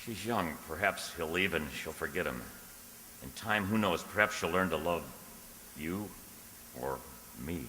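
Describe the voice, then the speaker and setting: Man acting a deep-voiced, anxious lovelorn man. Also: a little slow, long pauses, sharp tone, a little hiss or hum. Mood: uneasy. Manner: hesitant, stammering, pleading. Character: anxious lovelorn man named Charles, earnest and desperate. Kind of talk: radio drama acting with diary narration. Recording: long hall reverb, music underneath.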